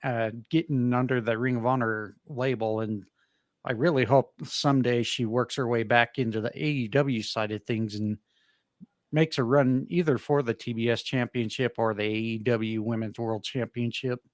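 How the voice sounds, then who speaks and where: A man hosting a wrestling podcast in a relaxed, rambling way. He talks at a middle pitch, pads his lines with fillers and pokes fun at himself. His mic sounds dry and close, with no room around it. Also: moderate pace, no echo. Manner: easygoing, rambling, self-deprecating with frequent fillers. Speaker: podcast host. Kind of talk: casual wrestling podcast chat.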